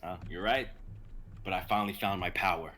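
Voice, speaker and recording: A man's voice: low-pitched, steady tone, fairly quick, bright, forceful tone. A man playing a brave, determined young knight in an amateur fantasy script reading, earnest and declamatory.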